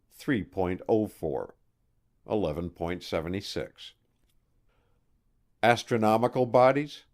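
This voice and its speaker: A man narrating a nonfiction audiobook from a script, with measured, deliberate enunciation. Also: lowish voice, darkish tone.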